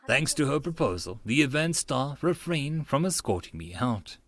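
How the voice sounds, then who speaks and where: A man's voice: mid-range voice, sing-song, normal speed, a bit bright. A man reading a story as an audiobook narrator, in a theatrical first-person style. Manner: theatrical, acts out dialogue and asides. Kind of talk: dramatized first-person narration of a visual novel.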